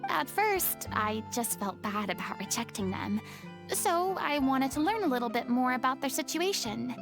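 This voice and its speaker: The haughty little diva one meets in an anime game cutscene, high-pitched and grandiose, once divine, music swelling behind her. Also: lively intonation, normal speed. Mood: reflective. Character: proud theatrical young former goddess, dramatic yet guarded. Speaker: video game voice actor. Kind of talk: scripted anime game dialogue.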